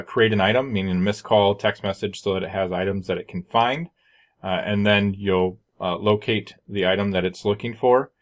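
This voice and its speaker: A man presenting an informal software tutorial in a matter-of-fact, explanatory way. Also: deep voice. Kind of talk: informal screen-share walkthrough.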